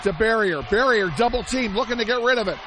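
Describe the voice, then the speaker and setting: Hoarse high-voiced basketball announcer, man. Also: quick pace. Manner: excitable, shouts big plays, chatty asides. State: losing his voice, hoarse. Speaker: play-by-play announcer. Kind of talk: live basketball play-by-play. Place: high school gym broadcast. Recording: loud gym crowd and noise behind.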